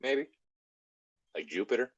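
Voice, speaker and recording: A man's voice: mid-range voice, big pitch swings, unhurried, no room sound, highs cut off. A man co-hosting a show, reading a story aloud and chatting casually about it. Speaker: co-host reading online stories aloud.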